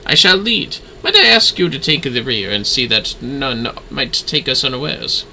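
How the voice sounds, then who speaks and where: A man voicing a formal, dutiful manservant swordsman in a fantasy game, speaking in a courtly, earnest, measured manner. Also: medium pitch, animated, creaky, bright, forceful tone, slight background noise, voice pushed down, heavily acted, low-quality recording. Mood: earnest, worried.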